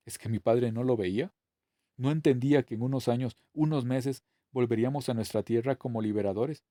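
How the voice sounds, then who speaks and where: A man's voice: medium pitch, vocal fry, mellow, dry recording, clean studio sound. A man reading a novel aloud as scripted narration. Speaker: audiobook reader.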